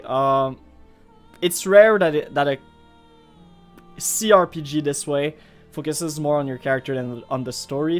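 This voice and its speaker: French-Canadian man streaming casually over music. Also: mid-range voice. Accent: Quebec French. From Montreal, Canada. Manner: hesitant, rambling, thinking aloud. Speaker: video game streamer. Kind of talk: casual live gameplay chat.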